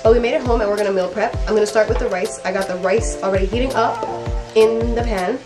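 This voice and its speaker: A woman's voice: a little high, fairly even intonation, fast, over music. A young woman in her twenties vlogging her meal prep, talking casually and slangily straight to a phone camera. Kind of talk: casual vlog chat. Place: grocery store, then home kitchen. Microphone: handheld phone camera at arm's length.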